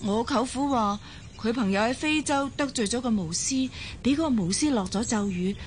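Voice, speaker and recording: A woman's voice: on the high side, moderate pace, a little hiss or hum, close to an everyday voice. A woman acting in a Hong Kong Cantonese radio drama as a friendly, curious and determined young surgeon. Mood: intrigued, storytelling.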